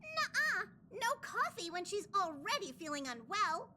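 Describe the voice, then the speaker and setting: A woman's voice: very high-pitched, very animated, moderate pace, sharp tone, slight background noise, exaggerated voice. A woman voicing a small, fussy, caring train conductor creature in a cartoonish video game style. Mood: scolding. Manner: fussy, cutesy, emphatic, refers to itself by name.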